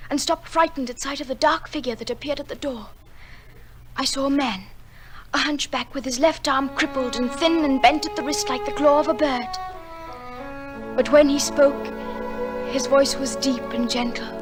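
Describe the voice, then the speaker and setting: An actress as a young fisher girl with a high, soft voice over faint music. She is shy, tender and emotional, speaking earnestly as in an old radio play. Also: steady tone, moderate pace, lightly acted. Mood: tender, reflective. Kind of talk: old-time radio drama acting and narration.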